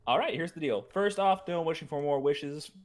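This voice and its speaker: A man's voice: on the high side, normal speed, natural voice. A man playing a brash, impatient wish-granting spirit with slangy salesman's patter in a comedic reading. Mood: casual, insistent. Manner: slangy, exasperated dude-speak.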